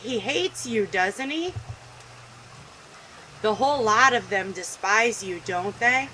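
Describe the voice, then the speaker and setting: Woman acting possessive game-story mother, scornful yet doting, slow delivery, bright high voice, faint noise. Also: lively intonation, low-quality recording. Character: possessive, scornful mother addressing her daughter.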